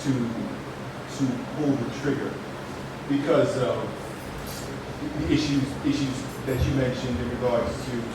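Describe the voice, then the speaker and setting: A man, a physician, asking a panel a question, halting and repeating his words as he goes. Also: mid-range voice, steady tone. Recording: echoey hall from far off, loud noise.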